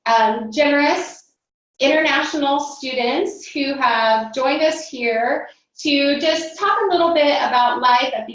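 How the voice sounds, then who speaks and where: A high-voiced woman hosts a university webinar, speaking in a friendly way with frequent "um"s. She sits well away from the microphone. The room is very echoey and the sound is dull. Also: a bit bright, distant mic. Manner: friendly, fillers, directing attendees. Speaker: admissions staff host. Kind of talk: hosting a webinar. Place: office or meeting room, off camera.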